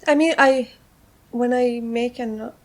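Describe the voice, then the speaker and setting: A woman from Iran with a Persian accent, a native Persian speaker, answering casual interview questions in halting English as an artist. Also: on the high side, fairly even intonation. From Tehran, Iran. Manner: halting, searching for words in English. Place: on a houseboat, seated at a table.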